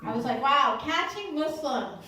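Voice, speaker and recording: High-voiced woman comedian, echoey and distant. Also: animated, normal speed, a loud crowd. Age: early 30s. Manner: conversational, self-deprecating, acts out short dialogues. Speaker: stand-up comedian. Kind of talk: stand-up comedy set. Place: live stand-up venue. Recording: roomy, echoing live recording from a distance.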